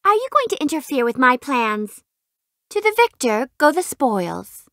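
A woman's voice: sits high, very animated, a little slow, fairly bright tone, no room sound, studio quality, full character voice. A woman voicing a haughty, refined rich young lady in a fighting game, with a prim, imperious, theatrical delivery. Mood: smug, disdainful. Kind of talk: video game fight voice clips.

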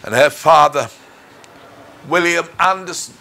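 Slow-paced middle-aged male preacher. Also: a little high, vocal fry, pushed and bright. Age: middle-aged or older. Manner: measured, earnest, deliberate phrasing. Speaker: minister. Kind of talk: personal testimony sermon. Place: indoors in front of a dark curtain. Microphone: lapel mic.

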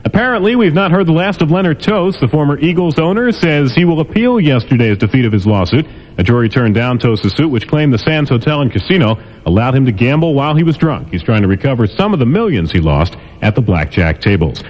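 A man as a radio newscaster, reading a news and sports update in a crisp, measured way. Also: medium pitch, very animated, darkish tone, poor audio. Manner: crisp, measured newsreader delivery.

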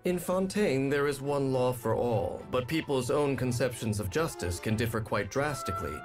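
A man reading a scripted explainer about a game character in a polished, measured delivery. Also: mid-range voice, animated. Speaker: video narrator. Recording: loud music behind voice. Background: game trailer music.

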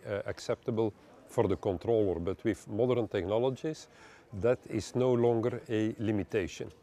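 A man, giving measured explanatory interview answers in phrasing. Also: lowish voice, warm tone. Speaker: head of engineering, interviewee. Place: large indoor operations room. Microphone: lapel mic.

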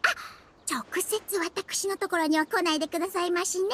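A woman's voice: extremely high pitch, fairly even intonation, moderate pace, fairly bright tone, slight background noise. A woman voicing a small, greedy girl merchant in an anime game, with affectedly polite, ladylike sales patter. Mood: coaxing, brisk. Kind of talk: Japanese anime game voice acting. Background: background music.

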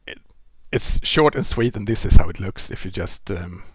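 A man with a Swedish accent explains a technical topic at an easy pace, voice slightly husky and pitched fairly low. He talks into a headset, the sound close and dry with no room around it, restarting phrases as he thinks. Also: normal speed, no echo. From Sweden. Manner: relaxed explaining, with frequent restarts and fillers. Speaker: presenter. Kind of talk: technical lecture. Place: indoor room.

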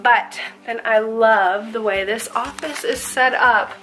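A woman's voice: on the high side, slow, pushed and bright, poor audio. An American woman in her thirties, a real estate agent, chatting enthusiastically to camera in a casual house-tour style. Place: inside a furnished townhouse.

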